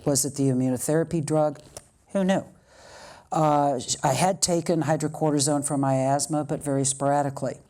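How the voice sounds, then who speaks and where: A woman's voice: deep voice, fairly even intonation, normal speed, dark tone. A woman in her sixties giving a public speech and reading a friend's letter aloud, with chatty asides between careful reading.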